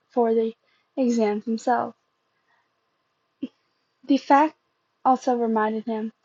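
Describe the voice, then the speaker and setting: Halting, high-voiced woman reading a story. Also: warm tone, dry recording, lo-fi sound. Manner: halting, stumbles and repeats phrases. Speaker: amateur fan fiction reader. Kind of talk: reading fan fiction aloud.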